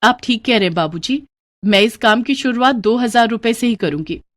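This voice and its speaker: A woman's voice: a little high, lively intonation, moderate pace, lightly acted. A woman voicing a poor, hardworking young woman who sells momos, speaking earnestly and politely in a cartoon story.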